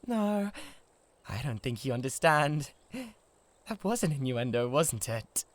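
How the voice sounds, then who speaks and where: A man's voice: mid-range voice, sing-song, a little slow. A British man playing a huge, lovesick, possessive giant in a comedic ASMR roleplay, theatrical and easily exasperated. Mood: indignant, exasperated.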